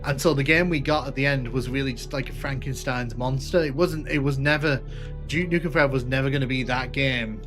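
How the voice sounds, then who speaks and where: A man with a northern English accent and a light twang of Liverpool talks at a middling pitch. He hosts a gaming stream in a chatty, informal way, often restating his phrases as he goes. Music plays behind his voice. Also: brisk. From north of England, UK. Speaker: livestream host, gaming streamer. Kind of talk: casual live stream chat with viewers over gameplay.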